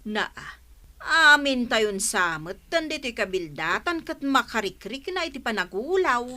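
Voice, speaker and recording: A bossy female village councilor played by an actress in a comedy radio drama. Her voice is fairly high and forcefully bright, full of incredulous chiding. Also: very animated, a little slow, full character voice.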